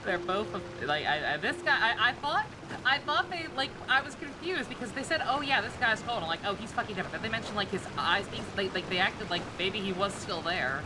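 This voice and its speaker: A woman's voice: mid-range voice, very animated, fairly quick, background noise. A woman game streamer giving casual, irreverent live commentary, rambling and swearing freely.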